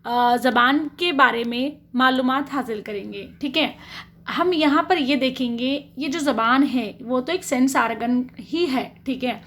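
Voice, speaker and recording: A woman with a high, light voice teaches a school biology class. She moves point by point, posing a question and then answering it, and checks in with the listener often. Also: a little slow, fairly bright tone. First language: Urdu. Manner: asks questions then answers them, frequent "theek hai". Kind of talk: explanatory science lesson.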